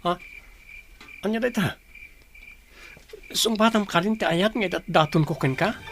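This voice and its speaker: A man acting in a radio drama as an eager young suitor, apologetic and smooth-talking. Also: high-pitched, measured pace, a bit bright, slight background noise. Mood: eager, courting.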